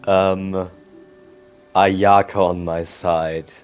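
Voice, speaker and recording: A man's voice: low-pitched, level tone, drawn-out words, clear, resonant tone, dull audio. A man streaming a video game, commenting casually and offhand, joking and musing aloud as he plays. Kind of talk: casual live gameplay commentary. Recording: game music behind the voice. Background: video game music and sounds.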